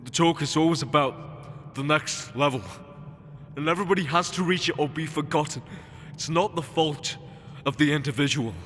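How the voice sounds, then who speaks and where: A man's voice: a little high, moderate pace, close to an everyday voice. An actor playing an anguished, remorseful male space explorer in an audio drama, with earnest, emotional delivery. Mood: pained, wistful. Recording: loud music under the voice.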